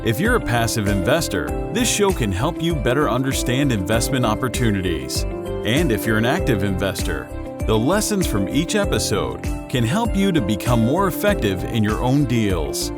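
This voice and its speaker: A man reading a scripted show intro in a measured, promotional way. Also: mid-range voice, big pitch swings. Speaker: show announcer. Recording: announcer over loud music. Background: loud music.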